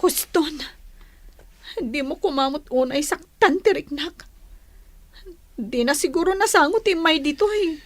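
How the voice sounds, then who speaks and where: A woman acts out an aggrieved, long-suffering young wife in a village radio drama. Her voice is very high, plaintive and full of reproach. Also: lively intonation, a little slow, long pauses, no room sound. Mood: wistful, hurt. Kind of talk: Ilocano radio drama acting.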